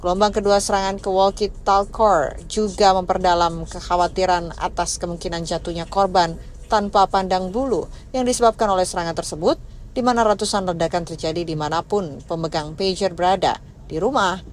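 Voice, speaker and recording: A woman reading a scripted news voice-over in a steady, formal way. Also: fairly low pitch, brisk, slight background noise. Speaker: news narrator.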